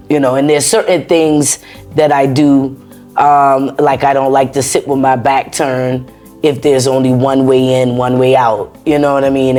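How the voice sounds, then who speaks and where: Animated American woman telling her story. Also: extremely low pitch. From United States. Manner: animated, repeats words, laughs often. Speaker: interview guest, former soldier. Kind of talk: casual conversational storytelling.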